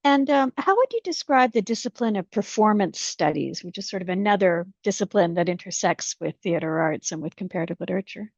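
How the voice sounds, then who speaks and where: A woman's voice: mid-range voice, expressive, fairly quick, creaky, few pauses, no room sound. Woman interviewer, slightly muffled. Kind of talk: academic interview.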